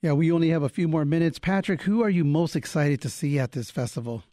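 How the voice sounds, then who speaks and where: A man hosting a radio interview, asking guests brisk questions and moving the show along. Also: on the high side, dark tone, no echo, clean studio sound. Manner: brisk, steers the conversation. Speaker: radio host.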